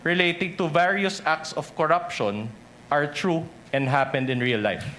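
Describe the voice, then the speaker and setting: A Filipino man with a fairly high voice cross-examines a witness as defense counsel. He is pressing and insistent, heard over clear hearing-room noise. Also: animated, moderate pace, fairly bright tone. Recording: noisy hearing-room ambience.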